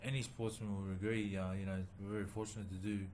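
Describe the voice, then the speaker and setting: A man from New Zealand, a boxer, answering interview questions haltingly with many pauses and fillers. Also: fairly low pitch, little pitch movement, a little hiss or hum. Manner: halting, many ums and uhs, soft-spoken. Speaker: boxer and former rugby league player, guest. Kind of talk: casual interview.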